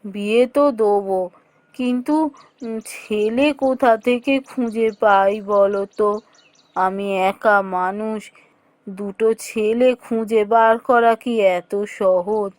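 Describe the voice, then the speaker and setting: A woman voicing a calm, agreeable middle-aged village mother in a cartoon fairy tale, speaking in a slow, measured way. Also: mid-range voice, dry recording, voice pushed down, natural voice. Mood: weary.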